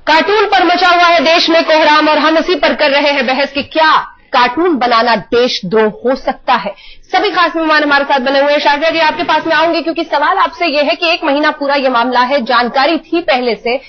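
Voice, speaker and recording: A woman's voice: sits high, fairly quick, slight background noise. A woman anchoring a live TV news debate, putting pressing questions and cutting in on her guests. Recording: dull lo-fi broadcast audio.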